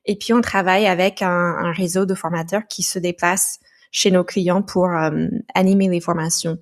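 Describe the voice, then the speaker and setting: A woman from the United States, a podcast guest talking through her experiences in a thoughtful, halting way with frequent restarts. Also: fairly low pitch, steady tone. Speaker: podcast guest, founder of a training company. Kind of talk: casual podcast conversation.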